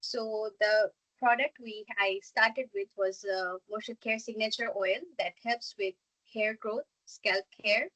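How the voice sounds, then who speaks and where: Bright-voiced young woman from Bangladesh. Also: medium pitch, fairly even intonation, slow pace, poor audio. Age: 20s. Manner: chatty, apologetic about tech trouble. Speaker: guest speaker. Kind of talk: online class talk. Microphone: video call.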